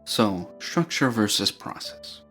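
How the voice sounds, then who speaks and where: Man, lowish voice, measured explainer narration, faint background music. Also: sing-song, pushed and bright. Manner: clear, measured, explains step by step. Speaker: educational video narrator.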